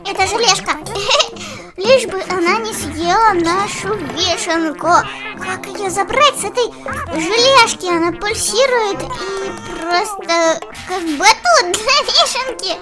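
A woman's voice: very high-pitched, slow. A woman hosting a children's let's play with childlike exclamations, voicing the game's characters as she comments. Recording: music behind the voice. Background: music.

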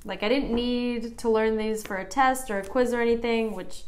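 A young American woman talks to the camera from her living room in a chatty, self-deprecating way. Her voice sits a little high, and she fills her sentences with "like" and quick laughs. The sound is picked up by a camera at desk distance. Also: steady tone, background noise. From United States. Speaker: vlogger learning Basque. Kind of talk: casual chatty vlog. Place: living room at home, indoors.

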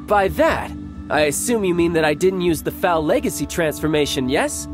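An actor playing a cocky, playful young warrior lord and older brother in a video game, speaking in a smooth, teasing, confident way. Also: male voice, a little high, animated, moderate pace, close to an everyday voice. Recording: game soundtrack under the voice. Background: game music.

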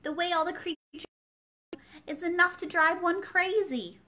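A woman's voice: very high-pitched, steady tone, a little slow, sharp tone, voice pushed up, heavily acted. A woman reading a storybook aloud as a curious, polite young girl who is easily exasperated.

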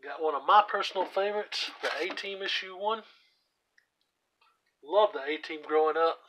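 A hoarse man casually walking through his comic book collection, reading out titles with offhand asides while he sits on the floor at home. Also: high voice, normal speed, fairly bright tone, a little hiss or hum, low-quality recording. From US South. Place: indoors at home, seated on the floor by a shelf. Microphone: camera aimed at the shelf, speaker off screen.

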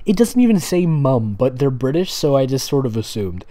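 Animated, sarcastic man with a mellow voice. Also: mid-range voice, moderate pace. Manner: sarcastic, mocking, dramatic asides. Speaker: host reading and mocking fan fiction. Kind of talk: casual comedic read-aloud with sarcastic commentary.

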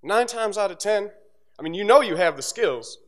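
A young man, an instructor, giving a casual, joking graduation speech from a podium microphone on an auditorium stage, with asides that drop low and quiet. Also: expressive, moderate pace. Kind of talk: motivational commencement speech.

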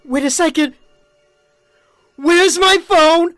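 A woman's voice: very high voice, takes it slowly, a bit bright, no echo, clean studio sound, soft background music, full character voice. A woman in a film fan dub playing a self-absorbed, phone-obsessed teenage girl, whiny and melodramatic. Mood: appalled. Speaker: amateur fan-dub voice actor.

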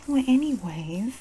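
A young woman acting a sassy, streetwise female inmate who comforts her bunkmate in a breathy whispered ASMR roleplay. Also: mid-range voice, mellow. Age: young adult. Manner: sassy, streetwise slang, drawn-out terms of endearment. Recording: close mic with clear background noise.

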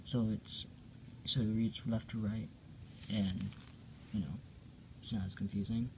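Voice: medium pitch, level tone, a little slow, slight background noise, low-quality recording. A person reading a comic aloud casually, with hesitant, self-correcting and apologetic asides, recorded on a handheld device at home. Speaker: storyteller reading own hand-drawn comic. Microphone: handheld phone or camera pointed at pages.

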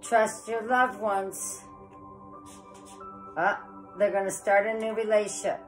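Woman, 40s, home tarot reader filmed by phone across a table in her living room; chatty, stuffy from a cold, clear music underneath. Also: mid-range voice, steady tone, takes it slowly, poor audio. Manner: chatty, rambling, says "guys" often. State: head cold, sniffling. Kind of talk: casual tarot reading to camera. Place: living room with electric fireplace, indoors.

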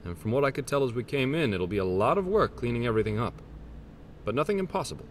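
A man playing a courteous, expressive fantasy nobleman. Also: medium pitch, slight background noise, natural voice. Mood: earnest, wistful. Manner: formal, warm, deliberate. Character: courteous middle-aged armored nobleman, returned to rebuild his town. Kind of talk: scripted fantasy game dialogue.

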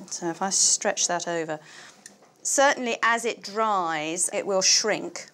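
A woman's voice: medium pitch, takes it slowly, fairly bright tone. A woman historian presenting, chatting and explaining as she works in a farm cottage kitchen, laughing now and then. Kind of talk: casual demonstration to camera.

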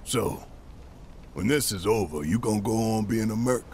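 An actor playing a big, gruff, brash rebel fighter with a warm heart and a gravelly voice in a video game. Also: male voice, lowish voice, lively intonation, normal speed, a little hiss or hum, lightly acted. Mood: frank. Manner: brash, emphatic, folksy. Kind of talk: dramatic video game acting.

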